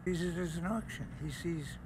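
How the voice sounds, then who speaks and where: An actor playing an elderly, calculating crime boss in a video game, speaking in a measured, imperious, coolly menacing way. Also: male voice, fairly high pitch, close to an everyday voice. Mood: coolly sly. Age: older. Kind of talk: video game cutscene acting. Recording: echoey hall, background noise.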